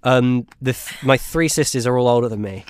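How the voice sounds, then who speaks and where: A man's voice: mid-range voice, brisk, clean tone, dry recording. Young male co-host, 18, rambling and playful, dry room. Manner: rambling, jokey, lots of fillers and restarts. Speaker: podcast co-host. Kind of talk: casual chat.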